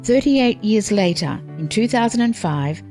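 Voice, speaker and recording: A woman giving formal, measured scripted narration in a documentary style. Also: medium pitch, animated, warm tone. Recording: music behind the narration.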